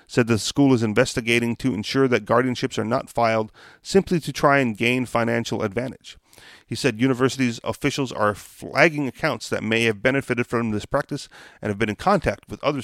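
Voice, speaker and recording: A man's voice: medium pitch, no echo, studio quality. A man from Hawaii in his thirties, hosting a podcast, reading a news article and then musing casually, full of uhs and restarts. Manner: reads haltingly with many uhs, then rambles with fillers. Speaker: podcast host.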